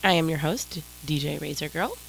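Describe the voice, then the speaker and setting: A woman's voice: low voice, lively intonation, moderate pace, gravelly, low-quality recording. A woman hosting her own music radio show, talking casually and a little rambling, with a stuffed-up nose from a cold and static on her home mic. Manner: rambling, apologetic, self-deprecating. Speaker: radio DJ host. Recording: static-filled home mic with faint noise.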